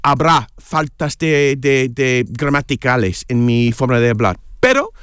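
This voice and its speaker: A middle-aged man whose first language is English chats in accented Spanish on a radio interview. His voice is smooth and resonant. He hesitates and restarts often, and the sound is dry and close. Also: medium pitch, animated, measured pace, a bit bright, no room sound. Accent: English-speaking foreigner speaking Spanish. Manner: hesitant, searching for words, many restarts. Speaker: guest, columnist.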